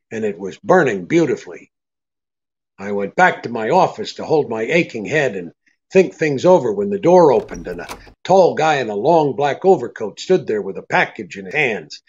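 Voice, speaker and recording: An actor playing a tough, wry private detective, hard-boiled and wisecracking, in a 1940s-style radio drama. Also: male voice, medium pitch, husky, lo-fi sound. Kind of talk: 1940s radio drama acting with first-person narration.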